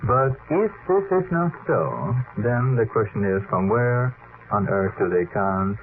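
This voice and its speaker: A man with a lowish voice muses on a radio panel. He picks his words deliberately and rephrases as he goes. The sound is vintage and phone-like, with slight noise. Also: big pitch swings. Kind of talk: unscripted radio discussion. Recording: noisy, phone-like vintage radio archive recording.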